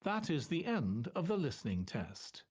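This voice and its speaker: A man's voice: on the high side, sing-song, moderate pace, a little mellow, breathy edge. A man reading formal, scripted test instructions as an exam announcer.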